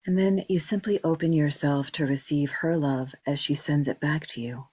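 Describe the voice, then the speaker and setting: Female meditation guide, soft husky breathiness, gentle spaced-out phrasing, dry but dull lo-fi sound. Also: deep voice, dry recording. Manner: slow, gentle instruction with long pauses. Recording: dull, muffled lo-fi recording.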